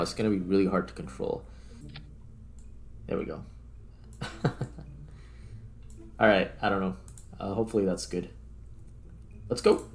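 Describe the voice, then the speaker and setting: A man doing casual let's-play commentary, thinking aloud informally as he works through a game. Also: fairly low pitch. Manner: informal, muttering to himself while working. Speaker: gaming video host, player. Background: background music.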